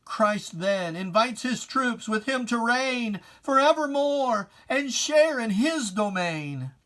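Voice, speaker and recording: Slow, solemn reciter of dramatic verse. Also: mid-range voice, expressive, fairly bright tone. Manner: solemn, declamatory, emphatic, with archaic diction. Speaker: poetry reciter. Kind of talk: dramatic reading of religious verse.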